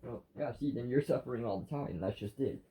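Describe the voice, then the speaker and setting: A man's voice: medium pitch, brisk, mellow, a little hiss or hum, rough recording. A man in a casual chat about religion with his wife, plainspoken, agreeable and blunt. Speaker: vlogger's husband, devotional reader.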